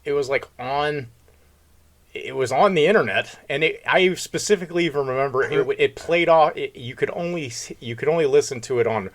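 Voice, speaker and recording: A man's voice: a little high, a little fast. Easygoing man chatting off the cuff. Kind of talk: casual unscripted podcast chat.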